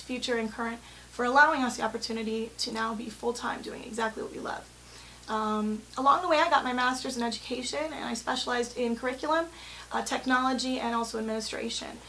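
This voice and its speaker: Higher-voiced woman with hissy background. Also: fairly bright tone, rough recording. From born in London, grew up in the Bahamas and England. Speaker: music teacher and company president. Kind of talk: spoken personal profile to camera.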